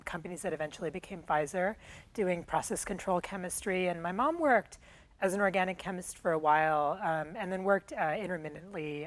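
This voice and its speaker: A woman's voice: on the low side, no echo, low-quality recording. A middle-aged woman from Michigan, Tamil her first language, talking in an interview, thinking aloud with frequent ums and restarts. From Michigan, USA. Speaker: guest. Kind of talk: conversational interview.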